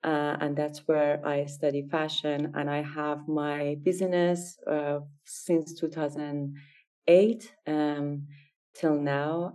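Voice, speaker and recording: Low, soft-spoken Iranian-born woman. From Iran. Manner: hesitant, searching for words in a second language. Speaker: guest fashion designer. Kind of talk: interview answers.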